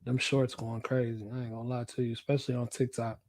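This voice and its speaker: An American man hosting a casual livestream, laid-back and slangy, repeating his phrases, with a voice hoarse from a cold he is getting over. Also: medium pitch, flat delivery, fast, a little mellow, dry recording. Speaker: livestream host, working nurse.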